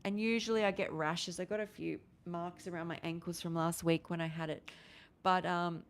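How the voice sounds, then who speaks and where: A woman in her forties hosting a casual livestream, talking in a rambling, animated way with many restarts. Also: fairly low pitch, moderate pace. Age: 40s. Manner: rambling, animated, restarts often, gestures with hands. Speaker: livestream host. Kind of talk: casual livestream chat answering viewers.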